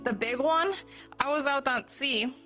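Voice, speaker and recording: Woman playing a flighty, high-voiced young fantasy woman. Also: unhurried, bright, forceful tone, soft background music. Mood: animated storytelling. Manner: rambling, giggly, trailing hesitant phrasing. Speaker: roleplayer in a streamed VR game. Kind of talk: improvised fantasy roleplay, casual conversational.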